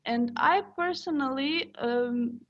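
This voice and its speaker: A young woman answers a seminar question in a clear, measured way, her voice on the higher side. The audio is dull and lo-fi, as through a video call. She speaks in full, well-built sentences. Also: a bit bright. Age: young adult. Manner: articulate, measured. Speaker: student participant.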